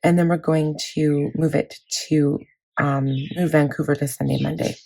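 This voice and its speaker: Lady with a sore hoarse throat, low voice, rambly casual chatter, lots of ums. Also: fairly even intonation, normal speed, mellow. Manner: chatty, rambling, many fillers. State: hoarse from laryngitis. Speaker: planning vlogger. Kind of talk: casual chat walking through a planner.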